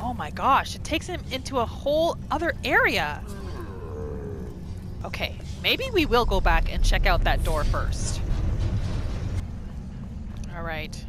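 Excitable woman gamer with very animated delivery. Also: medium pitch, a little slow, long pauses. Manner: excitable, exclaims and talks to game characters. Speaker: gaming video host. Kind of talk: playthrough commentary, roleplaying in third person. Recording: game music behind the voice. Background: video game music and sounds.

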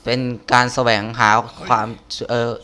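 A scheming, obsequious clan heir as a man reads him out from a game story. He sounds bright and forceful, with deferential words in a halting delivery. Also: lightly acted. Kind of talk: reading game dialogue aloud.

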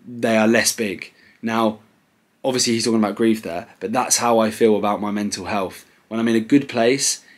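A young British man in his twenties vlogging casually from his living room sofa, relaxed and rambling with many ums. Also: fairly low pitch. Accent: British English. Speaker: clothing brand owner vlogging. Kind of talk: casual to-camera vlog. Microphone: camera close at sofa level.

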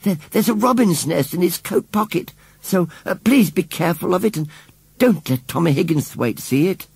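Storybook young girl, read by a man: lifted pitch, earnest, worried, gently pleading. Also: expressive, normal speed, gravelly, slightly rough, no echo, heavily acted. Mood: anxious.

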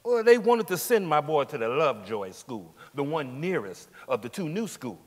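An actor playing a steadfast working man of forty-nine on the witness stand, deliberate, hesitant and formally polite, in a dramatic stage reading. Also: fairly high pitch, sing-song. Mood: aggrieved, recounting. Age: middle-aged. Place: large indoor theatre stage. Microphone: lapel mic. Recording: echoey theatre stage, long reverb.

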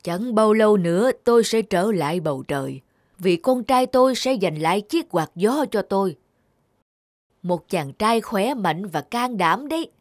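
A woman's voice: medium pitch, animated, unhurried, dry recording, clean studio sound, close to an everyday voice. A woman voicing a vengeful old wind god father in a cartoon, grand and commanding. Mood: proud.

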